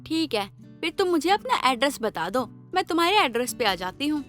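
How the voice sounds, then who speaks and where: An outspoken young wife in an audio story drama, acted by a woman. Her high voice swings expressively up and down, over background music. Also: sing-song, a little fast, fairly bright tone. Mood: polite. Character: outspoken, feisty young wife quick to complain. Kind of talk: Hindi moral-story audio drama acting.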